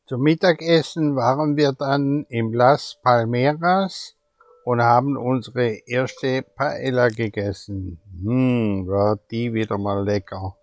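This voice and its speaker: Mann, gemächlicher Reisevlog-Erzähler. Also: male voice, medium pitch, dry recording. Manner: slow, easygoing, chatty. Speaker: vlogger. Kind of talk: casual travel vlog narration.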